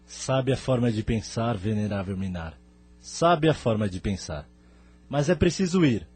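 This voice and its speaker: A man's voice: lowish voice, lively intonation, measured pace, darkish tone, breathy edge. A man with a Brazilian accent playing a venerable, resolute spirit leader in an audio drama, grave and formal in manner. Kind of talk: scripted audio drama dialogue.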